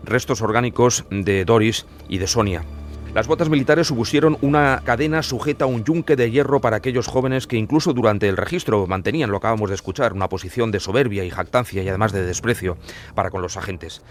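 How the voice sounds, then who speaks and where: A man's voice: lowish voice, quick pace, soft background music. A man hosting a true-crime podcast with scripted narration in literary, dramatic phrasing.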